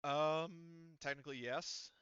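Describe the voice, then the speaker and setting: Clear-voiced man running a tabletop game. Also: a little high, fairly even intonation, a bit bright, dry recording, highs cut off. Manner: halting, thinking aloud while explaining rules. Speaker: game master. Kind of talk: casual tabletop game refereeing.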